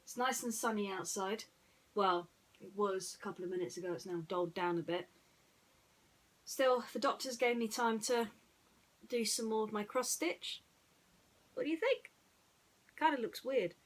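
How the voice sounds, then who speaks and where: A woman storyteller speaking in a casual, chatty way, often halting and repeating herself as she goes. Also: medium pitch. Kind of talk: casual chat and bedtime storytelling.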